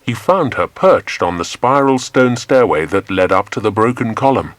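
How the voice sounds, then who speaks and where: A man with an English accent narrating a children's adventure story in a measured, dramatic storytelling manner. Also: mid-range voice, lively intonation, dry recording. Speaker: audiobook narrator.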